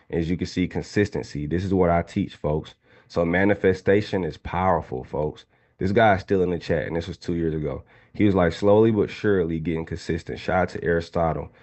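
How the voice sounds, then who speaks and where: Deep dark-voiced guy in his 20s hyping up a live trading class, keeps talking to the chat, kinda dull lo-fi audio. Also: brisk. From Atlanta, Georgia. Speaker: trading instructor.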